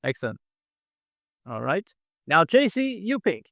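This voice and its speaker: A man's voice: a little high, huge pitch swings, measured pace, a bit bright, no echo, clean studio sound. A man casually hosting a quiz game on a video call, encouraging and often repeating himself. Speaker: quiz show host.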